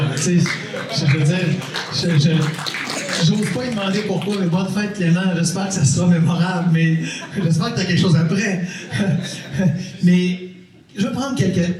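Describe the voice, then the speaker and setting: A middle-aged man with a Québécois French accent speaks casually to a lecture audience, repeating words for weight and joking with listeners. His voice is mellow and dark. It carries the long echo of a large hall, picked up from some distance. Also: a little high. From Quebec. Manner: conversational, repeats phrases for emphasis, jokes with the crowd. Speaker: mayor giving a talk. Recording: echoey hall, mic at a distance.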